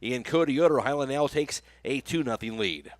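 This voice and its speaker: A man's voice: mid-range voice, lively intonation, moderate pace, no room sound. An American man calling a baseball game live as a radio play-by-play announcer, in clipped phrases that follow the action.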